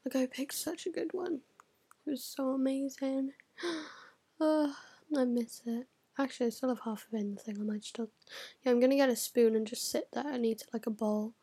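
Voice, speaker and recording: A woman's voice: sits high, steady tone, normal speed, mellow, slightly rough. A teenage girl, rambling casually to camera, swearing a lot and dropping into whispered asides. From United Kingdom. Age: teens. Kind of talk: casual vlog chat.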